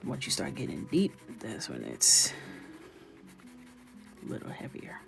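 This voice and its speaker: Low-voiced chatty woman from New York. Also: wildly swooping pitch, unhurried, creaky, faint music behind. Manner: playful, chatty, drifts into whispers and laughs. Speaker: illustrator coloring on a livestream. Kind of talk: casual chat while coloring.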